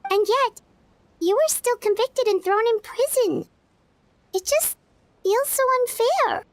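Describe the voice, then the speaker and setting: A woman's voice: very high-pitched, animated, slow pace, dry recording, heavily acted. A woman voicing a tiny, chatty fairy companion in a game who talks about herself in the third person and speaks frankly. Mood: indignant. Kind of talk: game cutscene voice acting.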